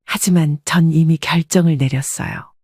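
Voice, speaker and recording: An older woman with a husky voice reads a first-person confessional story as scripted narration. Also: deep voice, steady tone, moderate pace, darkish tone, no room sound, clean studio sound.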